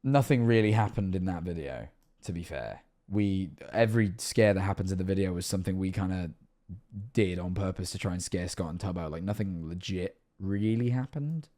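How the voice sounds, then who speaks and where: A young streamer in his twenties talks from his bedroom, his somewhat low voice rough and hoarse as it wears out. He rambles, cuts himself off and lets sentences drop away into mutters. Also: moderate pace. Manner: rambling, self-interrupting, trails off quietly. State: losing his voice, rough throat. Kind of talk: casual reaction stream chat. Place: bedroom streaming setup indoors. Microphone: headset on a streaming setup.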